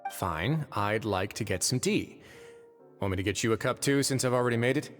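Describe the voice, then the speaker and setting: A man's voice: medium pitch, moderate pace, in a large hall, soft background music, close to an everyday voice. An actor playing a composed, wry, easygoing man in authority in a video game, speaking with understatement.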